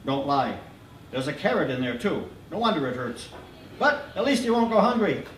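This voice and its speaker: A man's voice: on the high side, sing-song, moderate pace, slight background noise. A man voicing a brusque, teasing male doctor who fires off mock-grumpy jokes in a storybook reading. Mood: joking. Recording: roomy distant live-reading sound.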